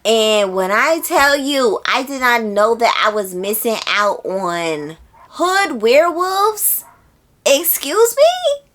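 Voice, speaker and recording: A woman book vlogger talking casually and informally to viewers, using slang and repeating words for emphasis in an expressive way. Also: on the high side, takes it slowly.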